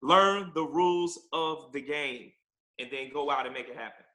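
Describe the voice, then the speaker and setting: A man's voice: a little high, moderate pace, pushed and bright. A man from Chicago, a real estate investor and guest on a podcast interview, talking energetically and repeating words as he thinks.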